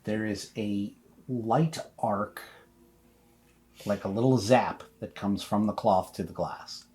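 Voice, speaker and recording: A man with a deep voice acts as game master for a tabletop horror game. He narrates the scene to his players in a conversational way and calls for dice rolls. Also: slow, a little mellow, a little hiss or hum.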